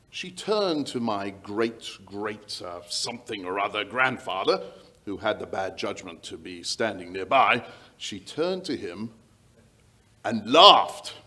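A man's voice: lowish voice, pushed and bright, heavily acted. A middle-aged man playing a worried, polite aristocratic landowner, telling his tale hesitantly in old-time radio style. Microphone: shared stage microphone.

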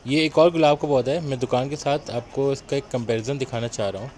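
A man's voice: medium pitch, fairly even intonation, a little fast, darkish tone. Chatty man guiding viewers outdoors. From Pakistan. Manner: chatty guide talking to viewers while walking. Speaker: travel vlogger. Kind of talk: travel vlog narration. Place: outdoors, on a bus and by a roadside cafe. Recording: noisy outdoor phone recording. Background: traffic and outdoor noise.

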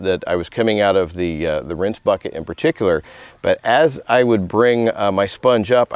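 A man's voice: lowish voice, dry recording. A middle-aged man giving a casual, conversational hands-on tutorial as a car detailer, speaking outdoors on a close mic. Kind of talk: instructional demonstration, conversational. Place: outdoors in a residential driveway.

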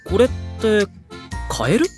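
A man's voice: high voice, very animated, measured pace, a little mellow, soft background music. An actor playing an easygoing, polite teenage boy in a Japanese anime audio drama, casual and mild in manner. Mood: mild, curious.

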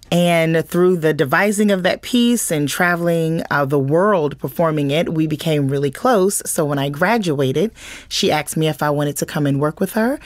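A woman's voice: sits low, dry recording. A woman guest giving reflective answers in an interview about her work.

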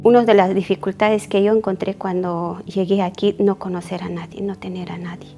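A woman in her forties from Guatemala, speaking quietly and simply about her experience. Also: medium pitch, flat delivery, normal speed, soft background music. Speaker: interviewee. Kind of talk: testimony.

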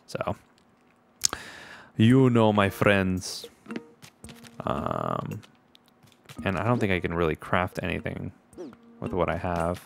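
A man's voice: deep voice, big pitch swings, slow pace, creaky, long pauses. A man from Puerto Rico hosting a let's play, chatting casually with viewers and laughing often as he plays, recorded on a desk mic in his home room. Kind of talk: casual gaming commentary. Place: home gaming room, indoors. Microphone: desk microphone with webcam.

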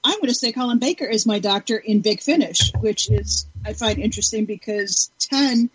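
A woman's voice: medium pitch, normal speed, breathy edge, lo-fi sound. A woman speaking as a panelist in a casual podcast chat.

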